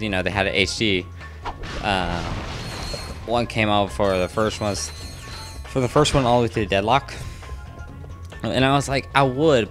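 A young man with a lowish voice rambles through his gameplay in a loose, chatty way, now and then scolding the enemies on screen. He keeps his naturally loud voice reined in so he won't wake a neighbor through the wall. Faint game music plays underneath. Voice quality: loud voice held down to a murmur. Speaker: gaming channel host. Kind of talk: casual let's-play commentary. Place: home room next to a neighbor's bedroom.

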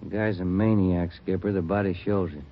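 An actor with an American accent playing a matter-of-fact police detective in an old radio drama. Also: male voice, low-pitched, fairly even intonation, fairly quick. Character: matter-of-fact male detective, the sergeant's partner. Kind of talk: 1950s radio drama acting. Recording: dull old radio recording.

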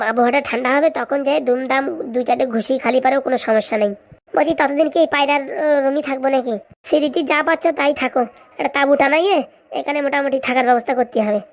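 A woman voicing a greedy, scheming village woman in a cartoon comedy, chatty and bickering in colloquial speech. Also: high-pitched. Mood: greedy plotting.

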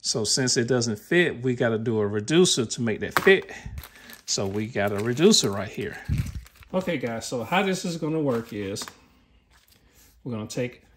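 A man's voice: mid-range voice, lively intonation, moderate pace. American guy talking thru a diy job as he works, echoey garage, some background noise. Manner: informal, thinking aloud as he works. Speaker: DIY tutorial host. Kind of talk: casual how-to narration while working.

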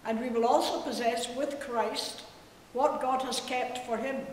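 A woman reading scripture at a church service in a solemn, measured and deliberate way. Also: on the high side. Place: inside a church. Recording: very echoey church hall, faint hiss.

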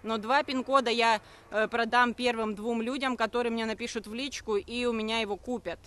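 A woman in her thirties, talking on a live stream while she walks a forest path with her phone held at arm's length. She answers viewers' comments briskly and defensively and hesitates often. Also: fairly high pitch, steady tone, a bit bright. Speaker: network marketing streamer. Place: walking outdoors on a forest path.

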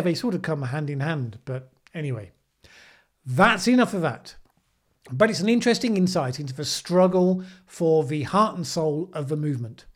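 A middle-aged man hosting a commentary show, speaking to the camera in a sardonic way. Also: fairly high pitch. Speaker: host of a weekly news commentary show. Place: small indoor room, plain backdrop. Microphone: microphone on a desk boom arm.

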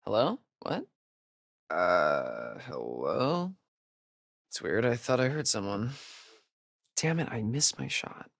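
A man's voice: on the low side, very animated, creaky, fairly bright tone, dry recording, exaggerated voice. Wisecracking young American guy, dry recording. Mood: casual, puzzled. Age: young adult. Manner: casual, sarcastic, halting asides. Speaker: video game voice actor. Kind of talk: naturalistic video game dialogue.

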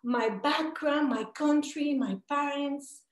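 Young Colombian woman, high voice, hesitant and earnest, echoey room on a video call. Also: slow. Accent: Colombian Spanish. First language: Spanish. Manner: earnest, hesitant, with many fillers. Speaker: participant asking a question. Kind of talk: asking a question in an online Q&A. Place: small home room. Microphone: video call laptop.

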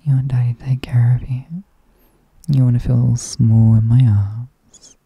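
A man voicing an affectionate, teasing partner in an intimate roleplay, speaking softly and often in a whisper. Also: medium pitch, slow, vocal fry, husky, dry recording, phone-quality sound, heavily acted. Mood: teasing, doting. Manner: soft, often whispered, coaxing, chuckling. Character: affectionate teasing male partner, doting, playful. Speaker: audio roleplay performer.

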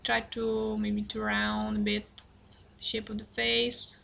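A young woman from Italy with an Italian accent, talking through a drawing tutorial in halting English, apologetic and full of fillers and restarts. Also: medium pitch, monotone, vocal fry, sharp tone, a little hiss or hum. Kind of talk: hesitant screen-recorded drawing tutorial. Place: at a computer, indoors.

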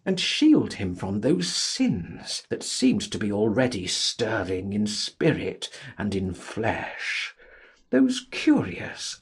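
A man narrating a literary audiobook in a dramatic, theatrical style with deliberate phrasing. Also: lowish voice, gravelly, slightly rough.

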